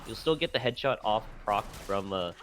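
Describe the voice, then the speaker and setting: A man giving analytic commentary on an esports match, rambling with filler pauses; Vietnamese was his first language. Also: fairly low pitch, lively intonation, fairly bright tone, slight background noise. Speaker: esports color caster.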